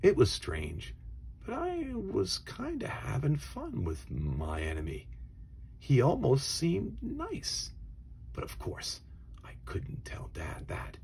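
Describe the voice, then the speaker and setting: A man reading a children's storybook aloud in a dramatic, expressive way, moving between hushed asides and emphatic phrases. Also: mid-range voice, slow, vocal fry, a little hiss or hum. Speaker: storybook reader.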